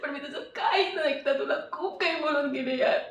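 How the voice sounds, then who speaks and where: A woman acting an emotional audition monologue as a remorseful young woman, tearful and halting as she pleads for forgiveness. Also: high voice, lightly acted. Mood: guilty, distraught. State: crying. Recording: roomy, muffled, distant mic.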